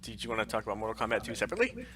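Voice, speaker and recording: A man's voice: lowish voice, sing-song, racing, fairly bright tone, recorded from a distance. A man co-hosting a podcast stream, chatting casually about films and games. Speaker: podcast co-host. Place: live online stream.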